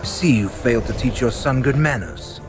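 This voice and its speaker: An actor playing a stern, commanding inquisitor in a medieval video game, speaking in a measured, threatening, authoritative way. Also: male voice, mid-range voice, animated, lightly acted. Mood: cold, menacing. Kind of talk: video game cutscene acting. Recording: dramatic music behind the voice.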